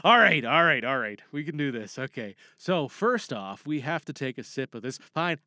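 A man talks as a podcast host, chatty and playful, thinking out loud. His voice is bright and lively in pitch, captured dry and close. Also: normal speed, dry recording. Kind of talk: casual podcast talk.

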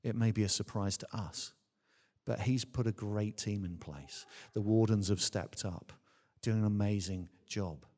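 A low-pitched man preaches to a congregation as a minister. He speaks earnestly and emphatically, repeating phrases for weight and posing rhetorical questions. His voice rings out with long reverb in a large church space. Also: a little slow, dark tone. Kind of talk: sermon to a congregation. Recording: reverberant hall with long echo.

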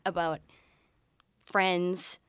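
A young woman speaks as a podcast guest. She explains her points in a casual, articulate way. Her pitch is a little low, and the recording is close and free of room sound. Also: level tone, extremely slow, a bit bright, clear, resonant tone, dry recording. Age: young adult. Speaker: podcast guest, graduate researcher. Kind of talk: casual interview answers.

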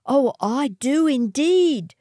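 Woman acting a high-pitched, haughty young heiress. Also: lively intonation, takes it slowly, mellow, no echo, clean studio sound. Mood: coaxing, insincere. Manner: theatrical, haughty. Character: haughty, scheming young outback heiress, falsely sweet.

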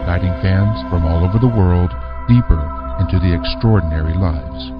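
A man's voice: low voice, moderate pace, natural voice. A man playing an epic movie-trailer announcer, reading a scripted promo. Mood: epic, earnest. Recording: muffled promo under loud music.

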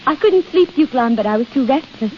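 High-voiced dreamy young princess. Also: female voice, lively intonation, fairly quick, a little hiss or hum. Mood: wistful, dreamy. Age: young adult. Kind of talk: 1930s radio acting. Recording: muffled 1930s radio recording, music behind.

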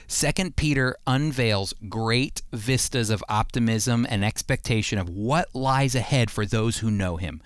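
A man's voice: mid-range voice, a little slow, few pauses, no room sound. A man hosting a radio Bible program, preaching conversationally and earnestly with emphatic, repeated phrasing into a close studio mic. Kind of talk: conversational radio sermon with scripture reading.

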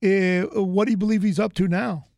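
A man's voice: high-pitched, fairly even intonation, a little fast, creaky, darkish tone, no room sound, studio quality. A man from the United States hosting an interview in a rambling, chatty way, full of fillers and restarts. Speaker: host. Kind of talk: casual interview chat.

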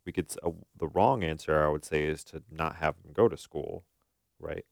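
A man's voice: very deep voice, normal speed, creaky, no room sound, clean studio sound. A man hosting a podcast in a casual conversational style, thinking aloud and often checking for agreement. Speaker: podcast host.

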